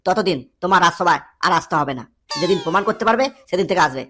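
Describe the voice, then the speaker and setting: A woman's voice: fairly low pitch, expressive, rapid-fire, sharp tone, faint music behind. A woman voicing an earnest, formal male king in a comic cartoon. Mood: stern. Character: earnest, trusting but stern male king.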